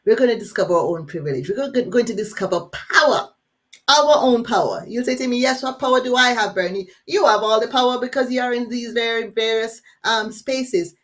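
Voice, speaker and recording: A woman from Jamaica with a Jamaican accent, leading a live workshop webinar in an energetic, engaging way and putting questions straight to her audience. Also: medium pitch. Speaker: workshop facilitator.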